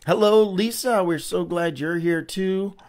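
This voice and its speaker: A man's voice: sits high, measured pace, darkish tone. A man hosting a casual livestream Bible reading, chatty and upbeat, greeting viewers by name, with a runny, stuffy nose.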